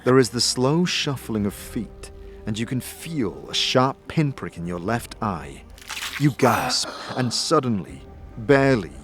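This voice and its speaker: A man with a fairly low voice narrates a dark fantasy drama. He takes his time, building suspense with deliberate phrasing, while faint music plays behind him.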